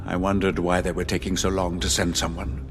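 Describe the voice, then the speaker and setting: A man's voice: deep voice, level tone, a little fast, music in the background. A man acting in an audio drama as an evasive, pragmatic official with a hesitant, self-justifying manner. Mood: wary. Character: uneasy, evasive male official, pragmatic and deferential. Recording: long reverb, faint background noise.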